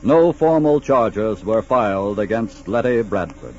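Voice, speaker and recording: A man narrating a crime drama in a formal, solemn, measured 1940s radio style. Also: on the low side, lively intonation. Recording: muffled 1940s radio broadcast. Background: dramatic music.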